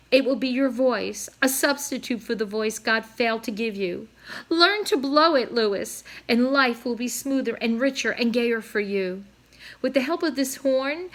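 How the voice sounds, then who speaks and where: Woman voicing a bright-voiced, pompous father swan. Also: a little high. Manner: florid, declamatory, self-dramatizing speech. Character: pompous, grandiloquent male swan, a proud doting father. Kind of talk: expressive children's storybook reading.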